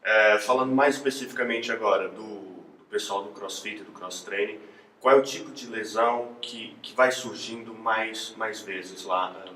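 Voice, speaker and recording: A man's voice: lowish voice, moderate pace, pushed and bright. A man with a European Portuguese accent asking questions informally as an interviewer. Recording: roomy echo, distant mic, faint noise.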